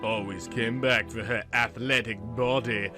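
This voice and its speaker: A man's voice: medium pitch, steady tone, measured pace, music in the background. A man narrating a comic story in a hammy, dramatic, emphatic audiobook style. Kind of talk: theatrical comic audiobook reading.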